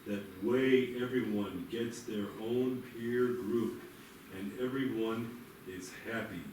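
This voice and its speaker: A man's voice: mid-range voice, fairly even intonation, takes it slowly, a little mellow, close to an everyday voice. A man reading the part of a matter-of-fact parent hosting a party. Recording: echoey room, distant mic, faint noise.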